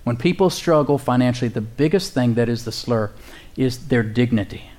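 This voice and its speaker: A man preaches to his congregation in a loose, conversational style. He repeats himself for emphasis and lets out an easy chuckle. His voice sits at a middling pitch. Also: normal speed, darkish tone. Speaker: pastor. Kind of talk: sermon.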